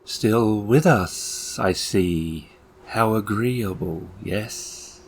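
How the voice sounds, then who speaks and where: A man voicing a theatrical, sinister keeper of the dead in a tabletop game, speaking slowly in formal, ominous phrases. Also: fairly low pitch, breathy edge, full character voice. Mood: coldly courteous. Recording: music bed under the voice. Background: music.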